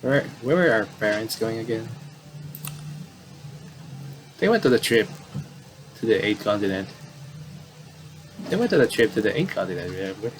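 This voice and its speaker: A man's voice: lowish voice, big pitch swings, brisk, pauses often, slight background noise, rough recording. A man doing casual game commentary, reading visual novel dialogue aloud and often stumbling and repeating phrases.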